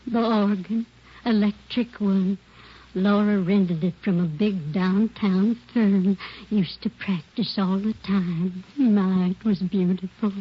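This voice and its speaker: A woman's voice: medium pitch, fairly even intonation, slow, breathy edge. A woman playing a chatty, particular landlady with wistful asides, in 1940s radio drama style. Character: talkative, particular landlady fond of her tenant. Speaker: landlady questioned by police. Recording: muffled 1940s radio recording.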